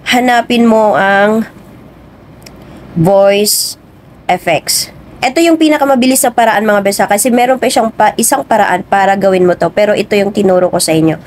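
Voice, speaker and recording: A woman's voice: medium pitch, normal speed. A woman hosting a casual how-to tutorial. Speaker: video tutorial host. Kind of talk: casual spoken app tutorial.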